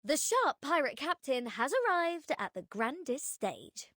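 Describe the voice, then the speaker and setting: A woman's voice: sits high, big pitch swings, measured pace, heavily acted. A woman playing a brash young pirate DJ captain in a video game, boastful and grandiose, broadcasting theatrically. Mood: showy, playful. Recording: loud music behind the voice. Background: loud music.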